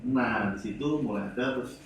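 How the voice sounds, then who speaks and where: A man in his forties tells his working history in a lively, anecdotal way, his voice sitting in the middle of the male range. He is heard from some distance away. The room around him rings with strong echo and a faint hiss. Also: mid-range voice, brisk. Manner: lively anecdotal, finishes the host's phrases. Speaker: guest, animation studio founder. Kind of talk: casual interview storytelling. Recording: echoey room, distant mic, faint noise.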